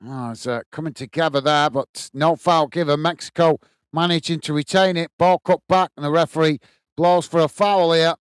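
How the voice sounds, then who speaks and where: English man, match commentary, fairly high voice, smooth and dry close mic. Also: moderate pace, dry recording. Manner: steady play-by-play, chuckles at chat. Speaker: watchalong host. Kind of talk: live match commentary with chat reading.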